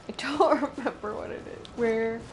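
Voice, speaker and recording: A woman streaming a game live, giving casual, halting commentary as she thinks aloud. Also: a little high. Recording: loud background noise on livestream.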